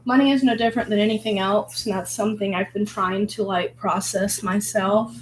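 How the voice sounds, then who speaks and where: A hoarse woman, sick at the moment, talking in a casual, rambling and reflective way, full of filler words, as she paints. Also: mid-range voice, steady tone, moderate pace, a little hiss or hum, poor audio. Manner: rambling, filler-heavy, musing. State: hoarse from illness. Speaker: vlogger painting.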